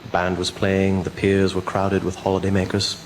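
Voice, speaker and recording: An actor playing a grave doctor who reads evidence aloud in a measured, portentous radio drama style. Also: male voice, low voice, monotone, a little hiss or hum, lightly acted, rough recording. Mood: grave, suspenseful. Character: grave male doctor presenting evidence, reading aloud solemnly.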